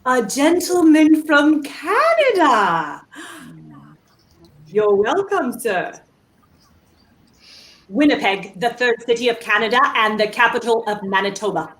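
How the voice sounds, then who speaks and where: A woman's voice: on the high side, lively intonation, slow, pauses often. A woman playing a proud music hall memory act in a 1930s radio play, reciting with theatrical precision. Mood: grand, obliging. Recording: faint background noise, video-call audio.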